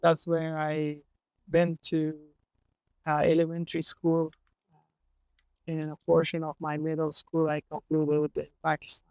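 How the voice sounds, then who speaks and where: A man in his thirties from Afghanistan, answering interview questions in a calm, measured way with some hesitation. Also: fairly high pitch, clear, resonant tone, no room sound, low-quality recording. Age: 30s. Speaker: guest, rideshare driver.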